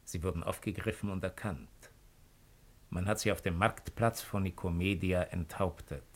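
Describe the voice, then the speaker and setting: Cold roman schemer, real low voice, measured and insinuating, old radio play style. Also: male voice. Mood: grave, factual. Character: cold, calculating male Roman imperial agent. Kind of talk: 1950s German radio drama acting.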